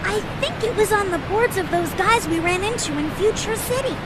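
A woman's voice: extremely high pitch, fairly quick, a loud crowd, very noisy. A woman voicing an earnest, brainy little boy fox inventor in a video game, explaining things studiously. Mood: storytelling. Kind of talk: video game cutscene acting. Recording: game music behind voice.

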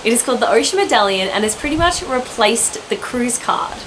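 A young woman presents a cruise ship to a handheld camera in a relaxed, friendly way. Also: fairly high pitch, brisk, noisy background. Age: young adult. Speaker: travel vlogger. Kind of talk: casual travel vlog tour. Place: cruise ship deck and lounges.

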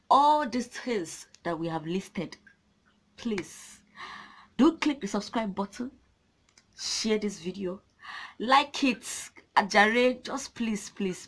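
A young Nigerian woman with a Nigerian accent, ranting passionately to camera in a small room; her first language is not English. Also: mid-range voice. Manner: passionate, animated, gesturing. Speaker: vlogger. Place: small indoor room with a draped cloth backdrop.

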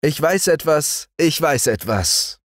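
An earnest young boy, bright and eager, speaking in a high, quick voice, as a man reading aloud puts him on. The sound is close and dry, with no room around it. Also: animated, no echo.

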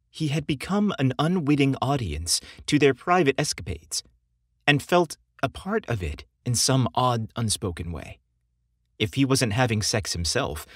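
A man narrates a scripted romance story with a widely moving, expressive pitch. His voice is slightly husky and measured, recorded dry with no room sound. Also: no echo.

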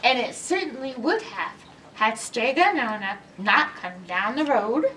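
A middle-aged woman reading a storybook aloud to children with expressive, dramatic delivery. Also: on the high side, a little slow, recorded from a distance, slight background noise, rough recording. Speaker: children's librarian, story time reader. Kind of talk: animated storybook read-aloud. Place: indoors in a library by bookshelves.

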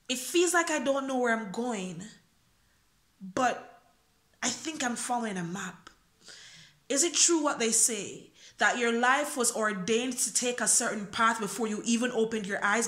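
A woman's voice: on the high side, fairly bright tone, reverberant. A young woman from Jamaica performing a freestyle spoken word poem into a phone camera, earnest and searching, full of questions. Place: small indoor room. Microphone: phone camera close up.

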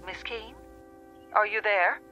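A woman acting in a radio drama as a patient, official phone company employee, measured and explanatory, heard over the telephone. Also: mid-range voice, steady tone, dull audio, faint music behind. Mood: grave, concerned.

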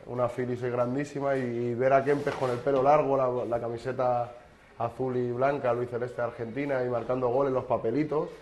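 Hombre charlatán contando anécdotas. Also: male voice, medium pitch, little pitch movement, fairly quick, darkish tone, low-quality recording. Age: 30s. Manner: chatty, anecdotal, rambling with restarts. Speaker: sports journalist guest. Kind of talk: casual interview chat. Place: kitchen.

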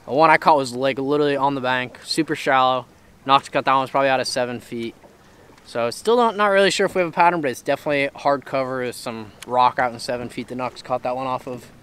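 A man's voice: mid-range voice, fast, fairly bright tone, smooth. A young man hosting a casual fishing vlog from an open boat on a lake, talking into an action camera in a laid-back, rambling way. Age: young adult. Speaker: fishing vlogger host. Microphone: action camera close to face.

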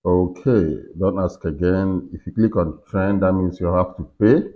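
A man in his forties hosting a casual software walkthrough, talking himself through each step. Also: deep voice, unhurried. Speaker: tutorial host. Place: indoors in a furnished room. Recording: slightly dull, lo-fi sound.

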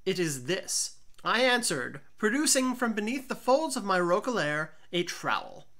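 A woman reading a gothic story aloud in a deliberate, theatrical narrating style. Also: medium pitch, very animated, fairly bright tone. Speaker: audiobook narrator.

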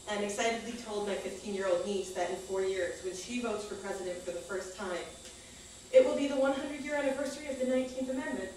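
A woman in her thirties reading a scripted personal monologue aloud on a stage. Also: mid-range voice. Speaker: spoken-word storyteller on stage. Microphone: far camera microphone. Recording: echoey theater, distant mic, faint noise.

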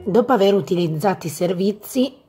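A woman's voice: mid-range voice, animated, a little fast. A woman reading scripted instructional narration, addressing young athletes in an explanatory manner. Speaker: gym staff narrator. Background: background music.

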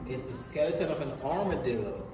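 A woman's voice: a bass voice, animated, quick pace, over music. A woman patiently asking a young child simple guessing questions on an outing. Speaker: parent filming a child. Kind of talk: casual chat with a child. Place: outdoor wildlife center.

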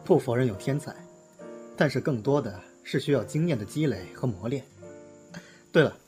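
A man playing a confident, teasing film star with a playful, expressive manner in an audio drama. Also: mid-range voice, normal speed, soft background music, natural voice. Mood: earnest. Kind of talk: Chinese audio drama acting.

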